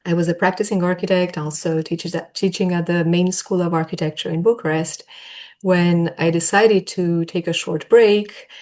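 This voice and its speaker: A woman's voice: lowish voice, darkish tone. A woman from Romania with a Romanian accent, an academic guest giving reflective, conversational interview answers and correcting herself as she goes. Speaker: podcast guest, architecture professor.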